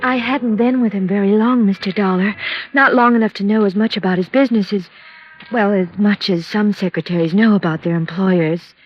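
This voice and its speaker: A woman's voice: medium pitch, brisk, soft background music, natural voice. An actress playing a polite, hesitant office secretary in a 1950s radio drama, deferential in her way of talking. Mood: guarded, hesitant. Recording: dull, lo-fi old radio recording.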